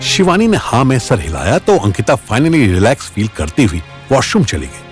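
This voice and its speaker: A man's voice: mid-range voice, wildly swooping pitch, moderate pace, music in the background. A man narrating a scripted audio story in a dramatic style.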